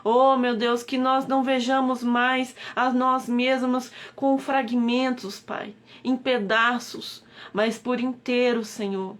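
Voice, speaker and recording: A woman's voice: fairly high pitch, level tone, takes it slowly, few pauses. A woman with a Brazilian Portuguese accent leading a devotional prayer on a live stream, pleading and repeatedly calling on God. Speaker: prayer leader.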